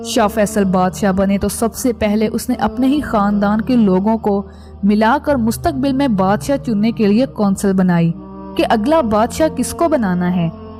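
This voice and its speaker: A woman narrates in a scripted documentary style. Her voice sits at an average pitch for a woman. Music plays clearly behind her narration. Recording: background music under voice.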